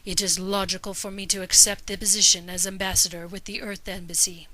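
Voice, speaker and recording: A woman voicing a stoic, resolute alien man in an audio story, speaking in an even, formal, controlled way. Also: medium pitch, fairly quick, low-quality recording. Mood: controlled, firm. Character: stoic adult male alien, composed, resolute.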